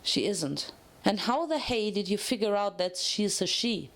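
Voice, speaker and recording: Woman as a guarded, gruff mare prisoner. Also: mid-range voice, expressive, unhurried, fairly bright tone. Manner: curt, reluctant. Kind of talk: dramatic fan fiction reading.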